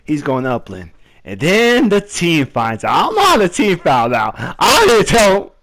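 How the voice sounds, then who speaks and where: A young man from Southern California telling a casual story to the camera, animated and full of "like" fillers, his voice leaping up high when he relives moments. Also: moderate pace, faint music behind. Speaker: storyteller vlogger.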